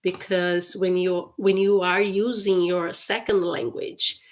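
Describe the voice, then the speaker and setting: Slow, careful woman teaching English. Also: lowish voice, little pitch movement. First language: Portuguese. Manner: slow, careful repetition of phrases with frequent laughs. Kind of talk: live-stream English lesson.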